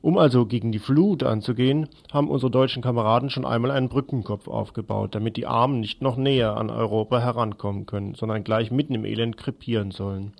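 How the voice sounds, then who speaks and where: A man presenting scripted radio news and commentary in a deliberate, sardonic manner. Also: mid-range voice, steady tone, gravelly.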